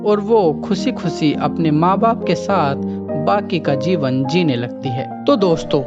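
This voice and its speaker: A woman narrating a children's moral story in a calm, measured way. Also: low-pitched, dull audio, over loud music. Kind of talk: scripted narration.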